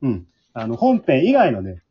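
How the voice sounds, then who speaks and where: A man's voice: mid-range voice, huge pitch swings, normal speed, slightly rough. A man hosts a casual podcast round-table, mixing polite announcer phrasing with chatty asides. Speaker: host, leader of an amateur drama group. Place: at home, remote group call. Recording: dull remote home recording.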